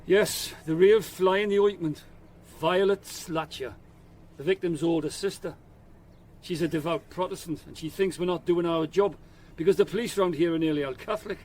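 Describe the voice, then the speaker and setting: An actor playing an affable, flustered chief constable with a Northern English accent, hesitant and polite, in a radio drama. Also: male voice, fairly high pitch, normal speed, darkish tone, slight background noise, natural voice. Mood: informative. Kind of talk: BBC radio drama acting.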